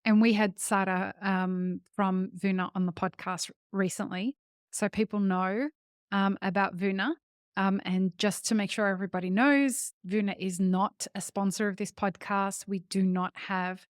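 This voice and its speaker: A woman hosting a podcast, talking with eager energy and warmly calling her listeners folks. Her voice sits at a medium pitch. The recording is dry and close, with no room sound. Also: clean tone, no echo. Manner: enthusiastic, addresses listeners as folks. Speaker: podcast host.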